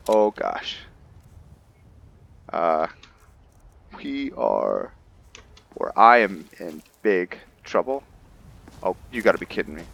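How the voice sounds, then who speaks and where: Male gamer, low register, slow delivery, casual play-by-play with muttered, halting asides. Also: wildly swooping pitch, gravelly, a bit bright. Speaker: gamer hosting a roleplay playthrough. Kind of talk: casual game commentary, reading in-game text aloud.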